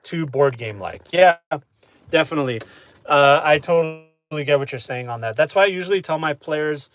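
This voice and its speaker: A man chatting casually with his live-stream audience, relaxed and talkative, often asking "you know what I mean?". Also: on the high side, fairly quick. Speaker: streamer answering chat.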